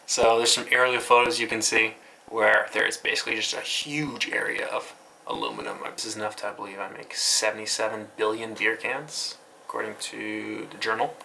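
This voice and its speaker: A man's voice: on the low side, little pitch movement, a little fast, gravelly, bright, forceful tone, rough recording. A man hosting a casual web news recap in an informal, offhand way, with some light noise in the recording. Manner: informal, offhand, occasionally swearing. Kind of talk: casual internet news recap, loosely scripted commentary.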